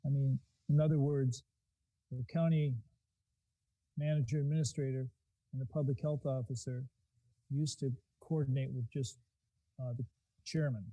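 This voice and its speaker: A man on a county board asking a question in a halting, hesitant way at a meeting. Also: medium pitch, steady tone, warm tone, dry recording, low-quality recording.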